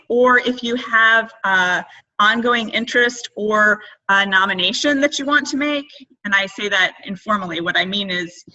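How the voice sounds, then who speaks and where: A woman moderating an online group meeting, speaking in formal, deliberate and halting phrases. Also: mid-range voice, pushed and bright, poor audio. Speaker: conference call moderator. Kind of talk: formal organizational meeting talk. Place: online group conference call.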